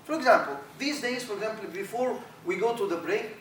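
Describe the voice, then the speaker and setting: A middle-aged Arabic-accented man teaching a workshop as an imam, his voice high and animated, words often repeated. He is picked up from across an echoey lecture room. A faint hiss sits under the sound. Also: brisk, recorded from a distance. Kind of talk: workshop talk to trainees.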